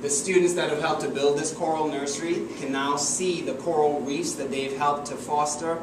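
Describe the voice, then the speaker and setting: A man's voice: fairly high pitch, steady tone, moderate pace. Young man from the Bahamas presenting in an echoey lecture room. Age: young adult. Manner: earnest, emphatic, standing with open gestures. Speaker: PhD student presenter. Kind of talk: memorized competition talk. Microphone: far camera, no visible mic. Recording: echoey room from far off, air-conditioning hum.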